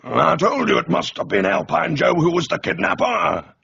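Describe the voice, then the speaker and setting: A man puts on a pompous older army major, full of bluster and indignation. His gravelly, husky voice leaps up in pitch whenever he is outraged. The audiobook recording is dull and dry. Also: sing-song, normal speed, bright, forceful tone, no echo, exaggerated voice. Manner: blustering, jumps to high exclamations. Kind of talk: audiobook character voice.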